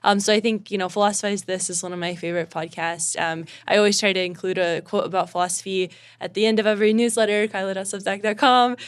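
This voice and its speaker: A woman's voice: mid-range voice, steady tone, quick pace, few pauses, clear, resonant tone. A young woman speaking as a podcast guest in a chatty way, with lots of "like" and "you know.". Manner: chatty, uses many fillers like "like" and "you know". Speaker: guest, newsletter writer. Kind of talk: casual panel chat.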